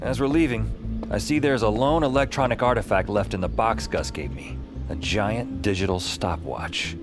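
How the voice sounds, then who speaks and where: A man's voice: fairly low pitch, moderate pace, music in the background, natural voice. An actor playing a wry, world-weary adventurer who narrates his own story in an audio drama with slangy lines. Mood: wry, resigned. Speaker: narrator and lead character. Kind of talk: first-person scripted narration in an audio drama.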